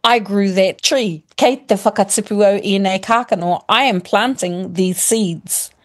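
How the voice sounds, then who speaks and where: A woman's voice: medium pitch, unhurried. A middle-aged woman from New Zealand with a New Zealand accent, a primary school teacher, explaining a word in a casual, teacherly way with examples. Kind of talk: casual explanatory chat, mixing Māori and English.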